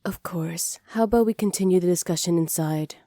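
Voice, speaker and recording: A sly, self-assured witch played by a woman speaks softly in a warm, dark-toned voice for an ASMR roleplay. She is flirtatious and hospitable, teasing and flattering as she goes. The sound is very dry, with no room around it. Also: fairly low pitch, fairly quick, no room sound, close to an everyday voice. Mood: welcoming. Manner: soft-spoken, teasing, flattering, partly whispered. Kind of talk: ASMR roleplay, slow scripted acting.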